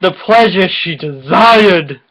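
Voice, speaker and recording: A man's voice: high-pitched, animated, slow, a crowd in the background, low-quality recording. A slightly tipsy man bantering casually and reading aloud for comic effect in a crude, teasing, informal way. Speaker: co-host of a dramatic reading video.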